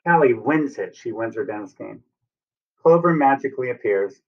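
A man's voice: medium pitch, animated, moderate pace. An American man in his fifties reads a picture book to young children like a teacher. He explains things simply and puts questions to the listeners. The sound is a little distant and dull, as from a webcam. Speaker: teacher reading aloud. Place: room at home, indoors. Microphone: computer webcam at arm's length or more.

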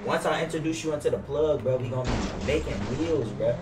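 A man's voice: medium pitch, fairly quick, distant mic. Young American man, echoey headset, street slang. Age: young adult. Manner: slangy, swearing street talk. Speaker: streamer playing an online role-play game. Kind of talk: casual in-game banter and chat. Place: indoors at a gaming desk. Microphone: gaming headset. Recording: roomy headset audio with clear background noise. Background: game car and street ambience.

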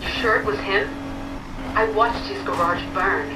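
A woman playing a ruthless crime fixer in a game cutscene, sharp and exasperated. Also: medium pitch, unhurried, lightly acted. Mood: angry. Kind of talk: video game villain acting. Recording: distant echoey sound, loud car noise.

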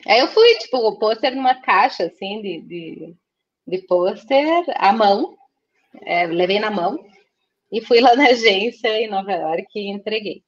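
A woman's voice: mid-range voice, lively intonation, measured pace. A young woman chats about her work over a headset on a video call. Her voice sits in a middle register and comes through slightly dull and lo-fi. She talks freely, with frequent fillers and restarts. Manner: chatty, many fillers and restarts. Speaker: guest designer. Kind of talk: casual video-call interview.

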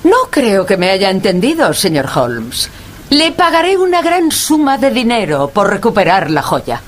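A woman's voice: medium pitch, wildly swooping pitch, unhurried, a little hiss or hum. Woman acting as an imperious, swooping countess. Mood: imperious insistence. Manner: commanding, curt, formal. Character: haughty, imperious aristocratic countess, demanding and indignant. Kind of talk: Spanish-language radio drama dialogue.

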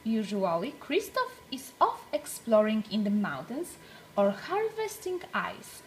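A woman reading a storybook aloud for young children, with deliberate, expressive phrasing. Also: on the high side, music in the background, low-quality recording.

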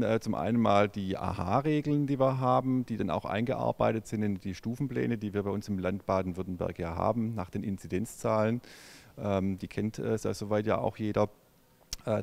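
Gravelly-voiced executive, halting man. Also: on the low side, darkish tone. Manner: halting, many fillers and restarts. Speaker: company executive. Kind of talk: on-camera interview. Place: large car showroom indoors. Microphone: handheld microphone.

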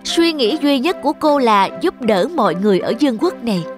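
A woman's voice: a little high, big pitch swings, a little fast. A woman narrating a scripted fairy tale for children. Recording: music under the voice. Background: music.

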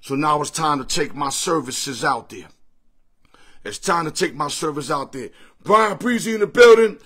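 A middle-aged man with a hoarse, strained voice, hosting a casual sports picks livestream from his home, greeting viewers by name in easygoing slang. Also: fairly high pitch, expressive, moderate pace, fairly bright tone. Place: home room full of sports memorabilia with a TV. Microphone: phone or webcam at arm's length.

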